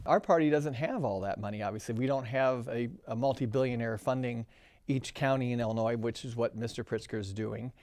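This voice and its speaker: A man's voice: mid-range voice, a little fast, darkish tone, dry recording. A man, a county party chairman, answering interview questions with repeated, restarted phrases.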